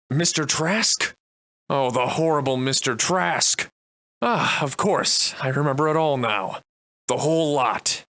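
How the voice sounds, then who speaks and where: Man voicing a bright, sardonic old-fashioned gentleman adventurer. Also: a little high, no room sound. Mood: bewildered. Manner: florid archaic diction, theatrical and wry. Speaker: voice actor. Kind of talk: theatrical video game character acting.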